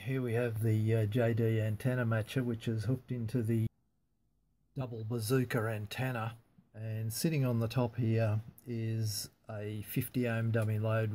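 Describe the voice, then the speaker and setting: A man talking casually and haltingly through a hands-on technical demonstration, unscripted and matter-of-fact. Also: on the low side, flat delivery, mellow. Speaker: amateur radio hobbyist demonstrating antenna tuning.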